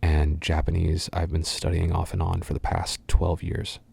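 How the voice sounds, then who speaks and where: A young adult man from the United States, talking in a soft, half-whispered way and rambling through fan questions with lots of ums and uhs. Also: sits low, completely flat delivery, normal speed, creaky, breathy edge, no echo. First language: English. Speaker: voice actor answering fan questions.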